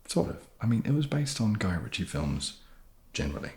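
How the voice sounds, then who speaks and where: A man answering questions in a soft-spoken, casual, laid-back way with dry humour. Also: lowish voice, big pitch swings, fairly quick. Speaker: ASMR video creator answering fan questions.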